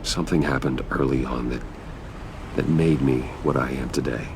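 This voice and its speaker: An actor playing a guarded, composed billionaire in a video game, speaking in a measured, dry, reserved way. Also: male voice, rumbling low, fairly even intonation, gravelly, background noise. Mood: calm, advising. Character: guarded, composed male billionaire, patient confidant. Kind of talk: understated video game voice acting. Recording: music behind the voice.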